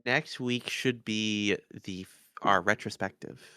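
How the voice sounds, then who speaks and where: A man's voice: lowish voice, unhurried, pushed and bright, clear, resonant tone, no echo, clean studio sound. A man co-hosting a podcast, talking casually as he reviews and rates stories. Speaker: podcast co-host.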